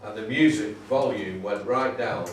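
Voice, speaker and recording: A man's voice: fairly low pitch, moderate pace. A man chatting casually as a club member among the listeners at an informal talk. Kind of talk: casual chat. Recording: echoey room, distant mic, faint noise.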